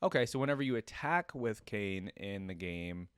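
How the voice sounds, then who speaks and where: A man's voice: on the low side, animated, moderate pace, clear, resonant tone, no room sound, clean studio sound. A man giving casual, informal reaction commentary and chatting to his viewers. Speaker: reaction video host. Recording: music behind the voice. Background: game trailer music.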